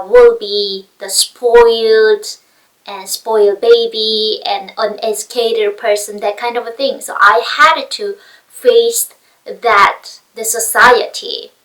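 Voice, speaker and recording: A woman from North Korea shares a personal account in English with a Korean accent. She speaks slowly and haltingly, often searching for words, at a medium pitch. The microphone picks her up from some distance. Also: fairly bright tone. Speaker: speaker telling their personal story. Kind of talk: personal testimony talk, unscripted. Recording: voice picked up far from mic.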